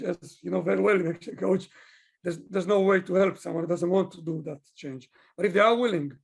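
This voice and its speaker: Husky, high-pitched man from Israel. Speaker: business owner, digital consultant being interviewed. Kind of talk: interview answers, conversational.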